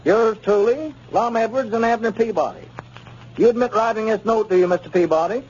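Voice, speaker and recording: Guy acting a formal pushy lawyer, high husky voice, muffled old radio show. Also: a little mellow, a little hiss or hum, close to an everyday voice. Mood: deliberate reading. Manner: formal, deliberate questioning. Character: formal, pressing small-town plaintiff's lawyer. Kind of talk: 1930s radio comedy acting. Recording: muffled 1930s radio recording.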